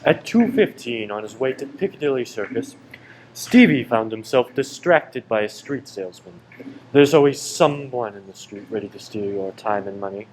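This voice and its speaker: A man's voice: medium pitch, lively intonation, moderate pace, slight background noise, natural voice, rough recording. A man playing a solemn, ominous narrator in a scripted radio play.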